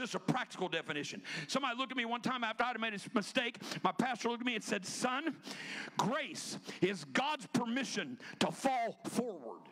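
High-pitched shouting preacher in his fifties. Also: rough recording. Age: 50s. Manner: emphatic, often shouting, with quick asides. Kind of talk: energetic Pentecostal sermon. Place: church sanctuary, indoors. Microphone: handheld microphone.

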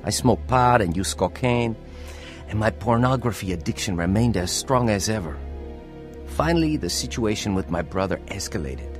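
A man's voice: on the low side, animated, moderate pace, faint music behind, natural voice. An actor playing a troubled, hot-tempered young man in a radio drama, both in dialogue and telling his own story. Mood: confessional, reflective. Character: troubled hot-tempered teenage boy, also narrating his life as testimony. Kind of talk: radio drama acting and first-person narration.

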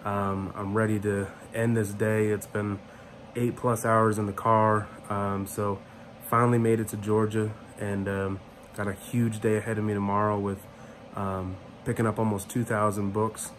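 A man in his thirties talking casually and haltingly to a phone held at arm's length in a small room. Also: lowish voice, level tone, slight background noise. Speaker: vlogger. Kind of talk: casual vlog sign-off to camera.

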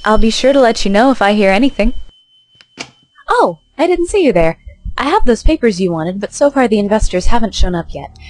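A woman's voice: a little high, expressive, brisk, a little mellow, natural voice. A woman acting a helpful office secretary in an audio drama.

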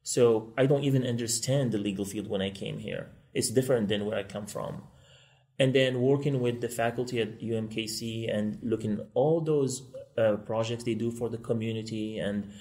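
Male guest from Morocco, mid-pitched, explains quickly and earnestly on a podcast. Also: a little mellow. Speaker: law school technology guest. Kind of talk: podcast interview.

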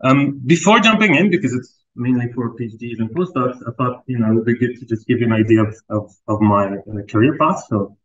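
A man's voice: mid-range voice, brisk, few pauses, lo-fi sound. A man in his thirties from Palestine giving a university seminar to students and postdocs, talking loosely with fillers and restarts. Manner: conversational, with frequent fillers and restarts. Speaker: research group leader giving a seminar. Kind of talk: academic seminar talk. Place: lecture room.

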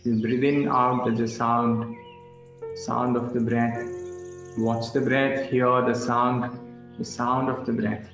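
Slow-paced man guiding relaxation. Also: mid-range voice. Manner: calm, instructional, short phrases with pauses. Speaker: yoga instructor. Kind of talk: guided relaxation meditation. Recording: roomy echo with music underneath.

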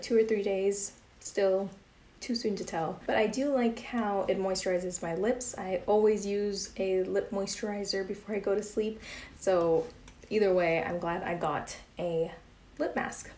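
A young woman chats through a beauty product review with plenty of ums and likes, at a middling pitch. Her voice sounds worn, as if she is losing it. The sound carries a noticeable room echo. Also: a little mellow. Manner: chatty, with frequent ums and likes. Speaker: beauty vlogger. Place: indoor room with plain grey wall.

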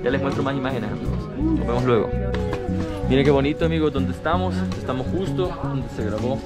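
A young man from Latin America excitedly vlogging his travels, talking to viewers as friends on an action camera held at arm's length. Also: medium pitch, fairly quick. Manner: enthusiastic, exclaiming, addressing viewers as friends. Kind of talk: casual travel vlog. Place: cable car and mountain-top terrace, indoors and outdoors. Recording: music playing under the voice.

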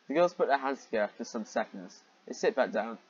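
Male reader, husky mid-pitched voice, sarcastic and exasperated, swears freely; dull home recording. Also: lively intonation, a little fast. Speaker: reader and commentator of fan fiction. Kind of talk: reading fan fiction aloud with mocking asides.

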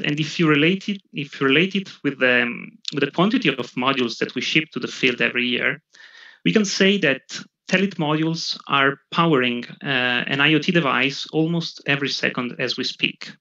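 Italian man presenting with halting fillers. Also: medium pitch, creaky, pushed and bright. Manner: halting, many fillers and restarts. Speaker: product marketing head presenting. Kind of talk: webinar presentation. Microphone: webcam video call. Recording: dull lo-fi video call audio.

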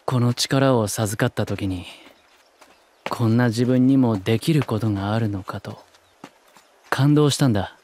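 Actor as cool, blunt, quietly tender man. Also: mid-range voice, unhurried, long pauses, natural voice. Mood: tender, reflective. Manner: gruff wording, understated, soft-spoken tenderness. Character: cool, blunt, secretly tender young male shrine warrior. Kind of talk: Japanese BL drama CD acting.

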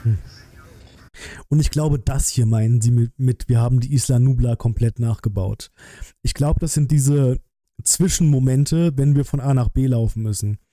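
Very bright-voiced man, casual streamer. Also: medium pitch, normal speed, dry recording. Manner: musing, colloquial, thinking aloud. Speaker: streamer reacting to a game trailer. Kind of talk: casual live-stream commentary.

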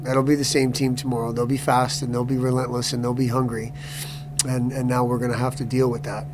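A middle-aged man, a hockey coach at a postgame press conference, answering seated, with halting speech that repeats words and restarts often. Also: medium pitch, flat delivery, noisy background. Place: press room before a sponsor backdrop.

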